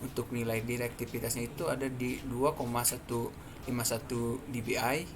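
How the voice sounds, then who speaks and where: Seorang pria muda berlogat Indonesia menjelaskan demonstrasi perangkat lunak sebagai mahasiswa presenter, bicaranya tersendat-sendat. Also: male voice, medium pitch, level tone, moderate pace. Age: young adult. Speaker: student presenter. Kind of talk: explanatory software demonstration. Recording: clearly noisy background.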